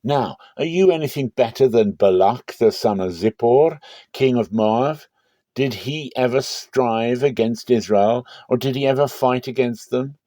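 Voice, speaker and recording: A man's voice: medium pitch, a little slow, warm tone, no echo, lightly acted. A man reading as a proud, declaiming warrior chieftain. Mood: indignant. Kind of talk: dramatic Bible reading.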